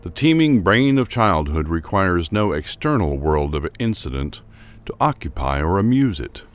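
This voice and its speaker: A man's voice: deep voice, big pitch swings, moderate pace. A man giving a formal, theatrical and emphatic narration of a gothic horror story, in the scripted style of an audio drama.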